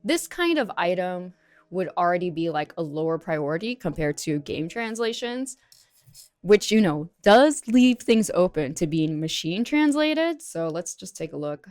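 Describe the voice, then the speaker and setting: A woman's voice: on the low side, very animated, a little slow, a little mellow, no room sound. A young woman, a native English speaker, casually reading an article aloud and commenting on it with many fillers. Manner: chatty, many fillers, reads text aloud then comments. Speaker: streamer reacting to an article.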